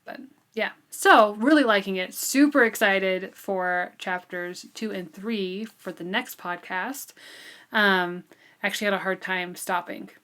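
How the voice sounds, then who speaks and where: A woman's voice: medium pitch, measured pace, pushed and bright. A woman co-hosting a podcast book discussion in a casual, informal way, thinking aloud as she talks. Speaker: podcast co-host.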